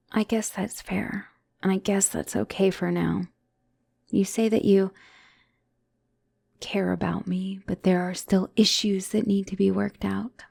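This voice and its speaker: A young woman playing an obsessive, clingy girlfriend on the run from an asylum, in an intimate one-sided audio roleplay with sighs and soft, teasing turns. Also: medium pitch, level tone, slow pace, long pauses, no room sound, heavily acted. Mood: tender, wistful. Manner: breathy sighs, teasing, drops into soft whispers.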